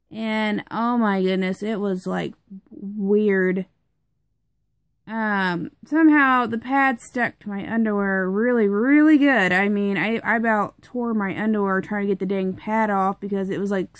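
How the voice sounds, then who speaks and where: A young woman with a stuffed-up, congested voice talks through a product review at home, rambling and chatty with plenty of fillers. Her voice sits at a medium pitch, and the sound is dry with no room echo.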